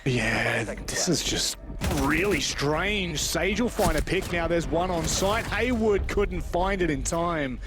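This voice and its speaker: Dude casting an esports match, jokey and self-deprecating, loud-ish game gunfire in the background. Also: male voice, fairly high pitch, expressive, slow, few pauses. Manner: informal, self-deprecating, joking. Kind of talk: live esports commentary, casual banter. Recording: game gunfire noise behind voice. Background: video game gunfire.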